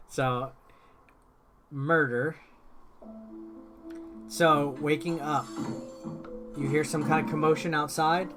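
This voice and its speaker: A man's voice: a little high, fairly even intonation, slow, long pauses, a bit bright. A man giving informal, rambling gameplay commentary as a video host, explaining things step by step. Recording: music behind the voice. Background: game music.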